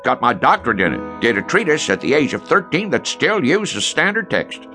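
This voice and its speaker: A man playing a boastful small-town storekeeper in a radio drama, with an unhurried rural American drawl and a taste for tall tales. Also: medium pitch, sing-song, pushed and bright, faint music behind.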